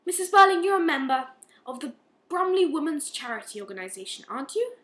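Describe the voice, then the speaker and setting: A high, bright-voiced, relentless police inspector played by a woman in an amateur radio play. The questions are measured and morally accusing, and the room echoes around them. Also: sing-song. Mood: stern, probing. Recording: roomy, echoing amateur recording.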